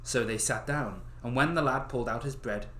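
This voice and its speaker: A young man reading stories aloud on a livestream in a casual, expressive way, swearing and breaking off with joking asides. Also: mid-range voice, fairly quick. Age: young adult. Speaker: livestream host reading fairy tales aloud. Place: small bedroom. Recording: roomy echo of a small room.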